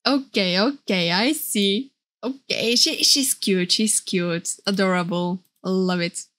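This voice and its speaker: A woman's voice: medium pitch, takes it slowly, fairly bright tone. A woman streamer giving casual, gushing, exclamatory reaction commentary. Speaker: VTuber streamer. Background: game trailer music.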